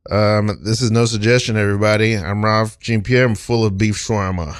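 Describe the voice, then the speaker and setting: A man's voice: fairly low pitch, fairly even intonation, fairly quick, clean tone, no room sound, studio quality. A man hosting a podcast with casual, improvised comedy banter, playful and given to repeating phrases for emphasis. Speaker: podcast host.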